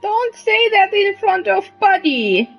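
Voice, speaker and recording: Slow-talking woman streaming a game. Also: very high voice, very animated, creaky. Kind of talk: casual reading and reacting to gameplay. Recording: dull mic over game music.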